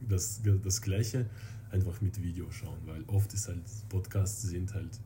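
A man's voice: sits low, fairly even intonation, background noise. A man with a Russian accent and Russian as his native language, chatting casually and searching for words as a guest. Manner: halting, searching for words in non-native German. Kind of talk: casual interview chat.

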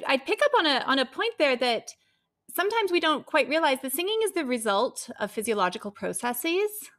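A woman's voice: high voice, a bit bright. A woman speaking as an expert on singing, explaining how the voice works with everyday comparisons. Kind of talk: explanatory conversation.

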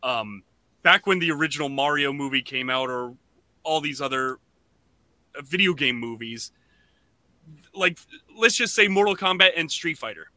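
A man hosting a podcast, chatting casually and enthusiastically in an animated way. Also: fairly high pitch, moderate pace, long pauses, no room sound. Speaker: podcast host.

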